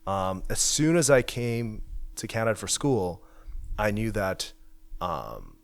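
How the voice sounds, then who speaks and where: A man's voice: on the low side, slow pace, creaky, dry recording. A young man who grew up in China, talking casually and thoughtfully about his path as a founder. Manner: relaxed, reflective, uses many fillers. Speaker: hacker house founder. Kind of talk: casual interview chat. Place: house in Waterloo.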